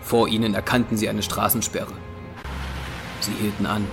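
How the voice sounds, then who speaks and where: A man's voice: fairly low pitch, flat delivery, moderate pace, gravelly, music in the background. A man narrating an audio drama from a script in a dramatic style.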